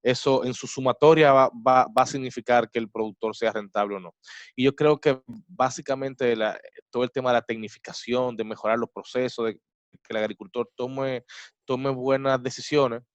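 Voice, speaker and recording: A man's voice: mid-range voice, fairly even intonation, moderate pace, no echo. A man moderating a farming webinar, thinking aloud with frequent restarts. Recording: dry, muffled online call.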